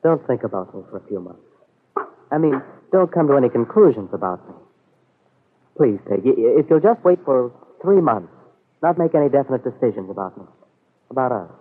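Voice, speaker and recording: An actor with an American accent playing an earnest, hesitant young soldier in an old radio soap opera, on a muffled vintage recording. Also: male voice, medium pitch, sing-song, a little fast, long pauses, breathy edge. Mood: earnest pleading. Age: young adult. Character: earnest young soldier pleading with the girl he loves.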